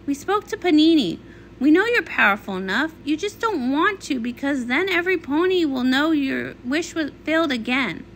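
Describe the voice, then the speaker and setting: A woman's voice: sits high, expressive, moderate pace, fairly bright tone, slight background noise, low-quality recording. A woman voicing a blunt, determined young female cartoon pony in a comic read-along. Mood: confrontational.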